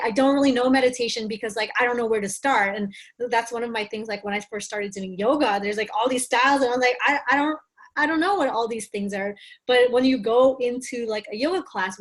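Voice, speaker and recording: Earnest woman on a video call. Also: on the high side. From Bangladesh. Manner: earnest, thoughtful, frequent "like" fillers. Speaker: wellness teacher on a panel. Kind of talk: webinar panel answer.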